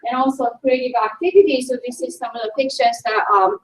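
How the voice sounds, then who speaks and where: A woman's voice: sits high, steady tone, a little fast. A middle-aged woman with a Japanese accent, a native Japanese speaker, giving an informal conference presentation as a linguistics teacher and often searching for words. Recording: echoey room, distant mic, faint noise.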